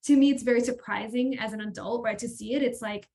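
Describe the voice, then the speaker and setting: A woman's voice: a little high, steady tone, fast talker, warm tone. A woman in her thirties from Hawaii with an American accent, a facilitator sharing personal anecdotes casually on a video-call panel. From Hawaii, United States. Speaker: professional learning facilitator, former teacher. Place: indoors in front of a colourful backdrop.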